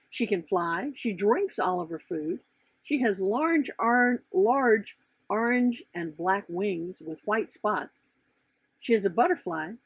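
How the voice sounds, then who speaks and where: A woman's voice: mid-range voice, breathy edge, no room sound. A woman narrating a children's storybook as its author, reading from the script.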